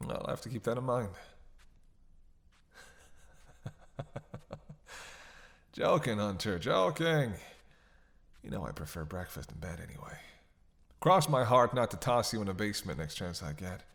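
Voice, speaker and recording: A man's voice: lowish voice, very animated, normal speed, vocal fry, long pauses, a bit bright, no echo, heavily acted. A man playing a witty, flirtatious, weakened male vampire in an intimate audio roleplay, with playful, sardonic delivery. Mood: playful teasing. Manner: playful, sardonic, murmuring asides and sighs.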